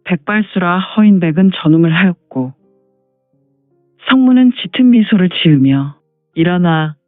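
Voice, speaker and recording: A high-voiced man reads scripted martial-arts narration. The sound is thin like a phone line, with faint music behind him. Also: dry recording.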